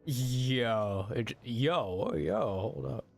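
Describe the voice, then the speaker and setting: Casting: male streamer, lowish creaky voice, casual slangy gaming reactions over loud game music. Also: slow.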